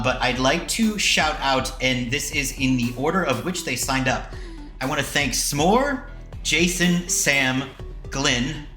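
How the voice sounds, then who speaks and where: Guy podcasting, playful n rambly, sharp bright voice, roomy echo. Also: medium pitch. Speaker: podcast host. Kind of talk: casual chat.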